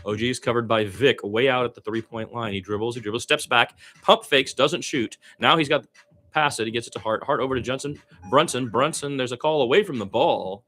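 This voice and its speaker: A man's voice: mid-range voice, brisk, sharp tone. A man doing amateur radio-style basketball play-by-play, conversational, with his own opinions mixed in.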